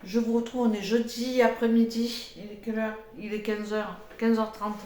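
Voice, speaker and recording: An older woman with a head cold, chatting casually in a cooking vlog, rambling with many pauses and fillers. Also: a little high, flat delivery, warm tone, echoey room. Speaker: cooking vlogger.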